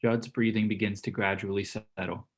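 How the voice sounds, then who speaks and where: Low-voiced man reading stage directions. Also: flat delivery, normal speed. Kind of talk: scripted narration.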